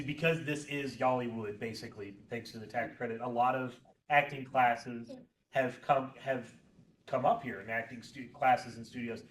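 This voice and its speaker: A man with a mid-range voice moderates a panel and asks long, meandering questions. He sounds distant in a big, echoey convention room, with faint room noise around him. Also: mid-range voice.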